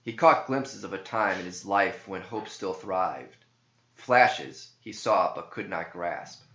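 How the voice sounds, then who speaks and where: A man reading scripted narrative verse aloud in a measured, deliberate way. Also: deep voice, animated, a bit bright. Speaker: author reading own verse. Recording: dull, echoey room recording.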